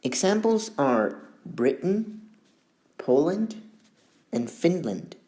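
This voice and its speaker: A man teaching a beginner English lesson, speaking slowly and deliberately with long pauses between words. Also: medium pitch, a little mellow.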